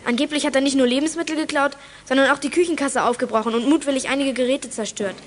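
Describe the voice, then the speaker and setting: A woman playing a plucky, quick-witted teenage girl detective in a children's audio drama, pert and defiant. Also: high-pitched, fairly even intonation, natural voice. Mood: confiding, brisk. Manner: pert, defiant, matter-of-fact.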